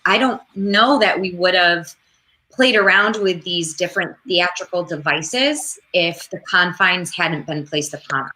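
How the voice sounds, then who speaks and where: A woman's voice: on the low side, bright, forceful tone, poor audio. A woman in her thirties giving a thoughtful interview answer over a video call. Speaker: actor and theater worker. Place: indoor room with plain dark wall.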